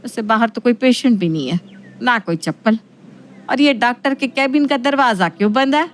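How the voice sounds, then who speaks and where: A woman's voice: a little high, natural voice. Woman playing a bossy, indignant middle-aged mother-in-law. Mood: indignant, fussy. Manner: brisk, bossy, fussy.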